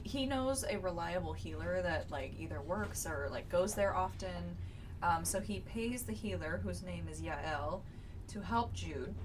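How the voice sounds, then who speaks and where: Lower-voiced woman chatting on a noisy, distant mic. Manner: chatty, informal, playful asides. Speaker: podcast co-host leading chapter recaps. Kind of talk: casual book recap discussion.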